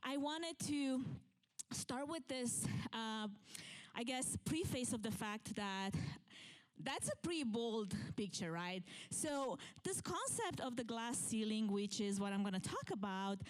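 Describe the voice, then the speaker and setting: A woman's voice: a little high, animated, unhurried. A middle-aged woman from Romania with a Romanian accent, giving a motivational conference talk in an earnest, conversational way. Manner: earnest, conversational, with fillers and restarts. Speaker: keynote speaker. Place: conference room with audience.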